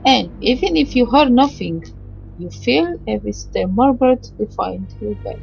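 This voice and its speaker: This is a woman with a fairly high voice, reading a visual novel aloud as she plays. Her delivery is halting, with stumbles, pauses and little asides between the narrated lines. Game music plays clearly behind her. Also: lively intonation, darkish tone, muffled recording.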